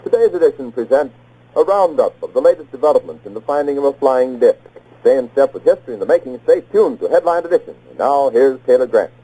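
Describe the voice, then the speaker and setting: A man's voice: medium pitch, animated, quick pace, mellow. An American man doing formal, polished, scripted announcing for a 1940s radio program. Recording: muffled 1940s radio broadcast.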